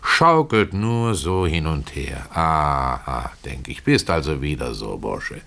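Casting: poor pious tailor, low-pitched, plain-spoken, folksy and brooding, confiding storyteller for radio drama. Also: male voice, big pitch swings, slow, sharp tone, exaggerated voice. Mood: pitying. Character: humble poor Russian tailor, plain-spoken, pious, retelling his regrets. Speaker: narrator of a literary radio play. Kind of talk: dramatic first-person storytelling.

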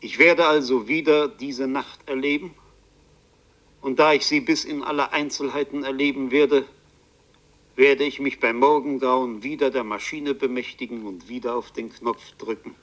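A man's voice: mid-range voice, steady tone, fairly bright tone, natural voice. Haunted Parisian bookseller telling his tale, acted by a man. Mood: fatalistic, dramatic. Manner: literary, deliberate, intense. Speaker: actor narrating a radio play. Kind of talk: dramatic first-person radio play narration.

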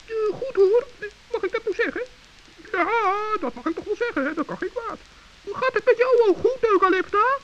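A man's voice: very high voice, expressive, normal speed, fairly bright tone, pitched above the performer's own voice. A man voicing a naive, earnest little male forest gnome in a children's radio play, hesitant and easily flustered. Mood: wary. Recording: muffled, faintly hissy vintage recording.

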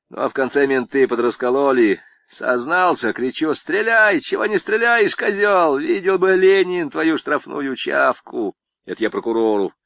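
A man voicing a swaggering young male convict who brags in slangy, drawn-out jabs. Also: mid-range voice, a bit bright, no room sound, pitched above the performer's own voice.

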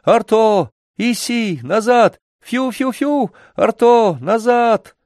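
A man voicing a rude, sharp-tongued young circus boy in a story, blunt, loud and agitated. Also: fairly high pitch, expressive, normal speed, no room sound, clean studio sound, pitched above the performer's own voice, lightly acted. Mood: frantic calling.

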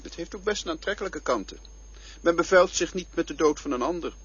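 An actor in a radio drama playing a mysterious male guide who questions the hero calmly, with quiet irony and a raspy voice. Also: a little high, brisk, exaggerated voice. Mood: calm, ironic. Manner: calm, questioning, quietly ironic. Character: mysterious probing male guide who shares the hero's name. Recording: dull lo-fi radio play recording.

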